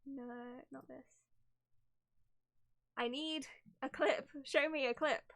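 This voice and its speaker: A woman livestreaming a game with casual, excitable chat, giggly and easily sidetracked. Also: high voice, slow pace, gravelly. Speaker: livestreamer reacting to a video game.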